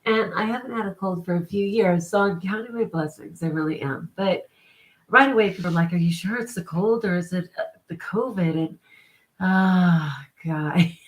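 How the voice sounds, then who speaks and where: A woman's voice: lowish voice, normal speed, rough recording. Congested woman chatting casually on a live stream. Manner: chatty, upbeat, laughs between remarks. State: congested with a cold. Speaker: vlogger.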